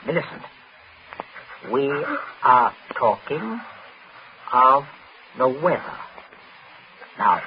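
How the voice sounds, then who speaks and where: A man's voice: mid-range voice, expressive, drawn-out words, pauses often. An actor playing a domineering, pompous middle-aged father in 1940s radio style, agitated and scolding. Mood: stern, urgent. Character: blustering, domineering middle-class father fearing scandal. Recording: muffled, noisy 1940s radio recording.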